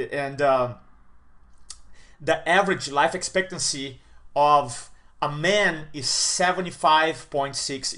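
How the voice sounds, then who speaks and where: A man in his forties with a slightly raised voice delivers a motivational talk to camera. He is fired up, stacks repeated superlatives and moves from big loud peaks down to hushed, serious lines. He sounds like a coach rallying his audience. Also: a little high. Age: 40s. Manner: enthusiastic, repeats words for emphasis, swings loud and soft.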